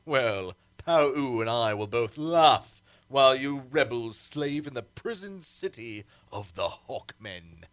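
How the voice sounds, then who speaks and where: A man's voice: mid-range voice, expressive, slow, few pauses, a bit bright. A man playing a cruel, imperious emperor in an old-time radio serial, theatrical and menacing. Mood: cold menace. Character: tyrant emperor, cruel, imperious, grandiose, easily enraged.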